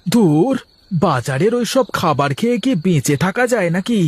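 Man voicing a high-pitched village vegetable seller, cartoon. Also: big pitch swings, normal speed, husky, dry recording, full character voice. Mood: homely, grumbling. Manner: colloquial, haggling, full of interjections. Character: plain-living male village vegetable seller, wheedling, defensive, henpecked. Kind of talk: cartoon character dialogue.

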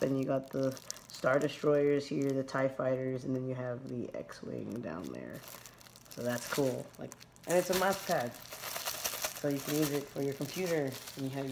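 A woman in her thirties with a hoarse, scratchy voice, chatting casually through an unboxing at home, rambling with many fillers and restarts, picked up by the built-in mic of a camera close by in a small room. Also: extremely low pitch, darkish tone. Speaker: unboxing vlogger. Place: small room indoors at night.

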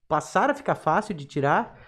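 A man's voice: a little high, sing-song, brisk, a bit bright, slightly rough. Southern Brazilian man chatting on a podcast. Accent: southern Brazilian gaúcho. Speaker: podcast guest, accordion player and teacher. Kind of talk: casual conversational storytelling. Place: small indoor podcast studio. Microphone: studio microphone on boom arm, through face mask.

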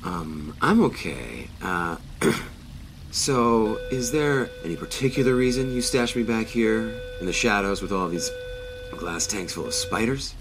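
A man's voice: lowish voice, unhurried, a bit bright, slight background noise. An actor playing an uneasy, hesitant man in a space audio drama, speaking naturally and wryly.

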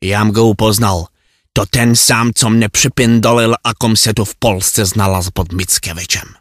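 A man's voice: on the low side, steady tone, a little slow, dry recording, clean studio sound, close to an everyday voice. A man playing a hapless, exasperated Czech lost in Poland, ranting in a comic monologue with a Czech accent. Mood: aggrieved storytelling. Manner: flustered ranting in garbled mock Czech-Polish.

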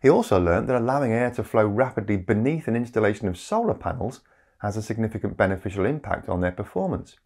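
Guy in his 50s doing an explainer, lowish voice, really lively up and down, chatty asides. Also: fast, vocal fry. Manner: conversational, with rhetorical asides. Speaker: video presenter. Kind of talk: scripted explainer narration to camera. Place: small indoor home study. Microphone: lapel mic.

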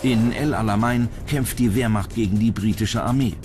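A man's voice: on the low side, moderate pace, over music. A man reading scripted narration as the narrator of a countdown documentary.

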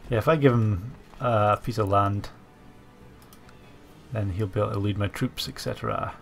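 Stuffy-nosed man with a gravelly voice. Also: fairly low pitch, steady tone, normal speed, faint music behind. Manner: musing aloud while playing, many fillers. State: stuffy from a cold. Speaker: gaming channel host. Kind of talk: casual strategy game commentary.

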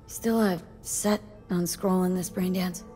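Woman acting tough young female mercenary, low pitch, clipped casual lines, video game, music underneath. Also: steady tone, unhurried, mellow, close to an everyday voice. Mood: casual, questioning. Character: tough, blunt young female mercenary, plain-spoken and steady. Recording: music behind the voice.

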